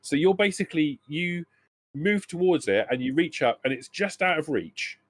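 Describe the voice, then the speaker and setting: A man narrating a tabletop roleplaying game as game master, halting and repeating phrases as he explains. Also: on the high side, animated, no echo.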